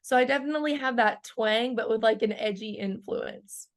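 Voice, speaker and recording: A woman's voice: a little high, normal speed. A woman in her early twenties, a country singer chatting casually in an interview, filling her talk with "like" and laughing often. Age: 20s. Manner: bubbly, laughs often, lots of "like" fillers.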